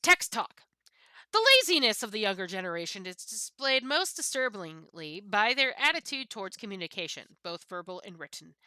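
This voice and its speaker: A woman plays a pampered, misanthropic cat reading its musings from a book. The delivery swoops with pompous disdain and theatrical emphasis. The tone is bright and sharp, in a dry recording. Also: mid-range voice, big pitch swings, moderate pace, dry recording. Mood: scornful. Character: haughty misanthropic pampered cat, sneering at common people.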